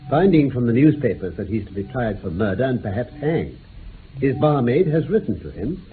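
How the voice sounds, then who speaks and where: A man playing a brisk, keen-witted detective in a radio drama, with a British accent and a clipped, commanding delivery. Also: medium pitch, faint music behind, lightly acted. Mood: amused, confiding. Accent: British English. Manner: clipped, commanding, theatrically animated. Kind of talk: old-time radio drama acting. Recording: tinny, band-limited old radio, faint noise.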